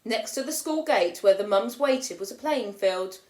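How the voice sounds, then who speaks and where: A woman teacher reading a children's picture book aloud with expressive storytelling, lifting her voice for the children's lines. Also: a little high, normal speed. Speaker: primary school teacher. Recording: roomy echo.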